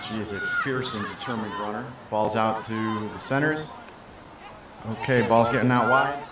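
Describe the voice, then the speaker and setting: A man's voice: on the low side, normal speed, a loud crowd. An American man giving live play-by-play commentary on an amateur match, explaining the rules as he goes. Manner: explains rules to newcomers, fillers and restarts. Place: outdoors at a sports field. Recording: echoey outdoor sound from far off with loud noise.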